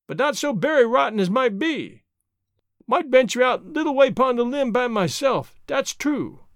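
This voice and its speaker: A storybook servant, simple and obliging. His high voice rises and falls freely in folksy dialect, always literal-minded and eager to please. The sound is dry and close. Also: lively intonation, normal speed, fairly bright tone, no room sound, exaggerated voice. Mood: earnest, hesitant. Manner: folksy nonstandard dialect speech.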